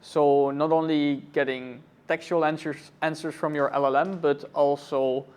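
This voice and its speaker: A man from Belgium, a cloud architect, answering interview questions thoughtfully with many pauses and fillers. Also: fairly high pitch, little pitch movement, darkish tone, clear, resonant tone. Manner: thoughtful, many ums and uhs. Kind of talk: conference interview.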